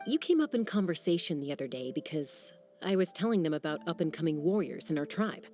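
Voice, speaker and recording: A calm, low voice of a woman warrior, steady and reassuring. She speaks with an easy, encouraging tone. Faint game music runs beneath her. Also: a little fast. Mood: awkward.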